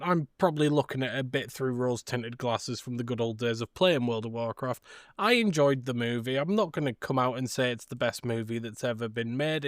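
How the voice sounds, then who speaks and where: A man co-hosting a podcast, chatting casually and giving easygoing, measured opinions about films. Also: medium pitch, clear, resonant tone, no room sound, studio quality. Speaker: podcast co-host. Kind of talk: casual movie discussion.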